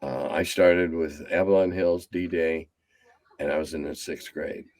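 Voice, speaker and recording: A man's voice: steady tone, unhurried. Blunt, rambling man in his seventies. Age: 70s. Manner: blunt, rambling, drops low and quiet as he winds down. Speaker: guest, game designer. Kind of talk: casual interview chat.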